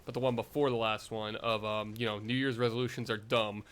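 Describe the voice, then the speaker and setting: A man's voice: on the low side, flat delivery, fairly quick, a bit bright. A young man vlogging in a casual, rambling way, full of fillers and self-deprecating asides.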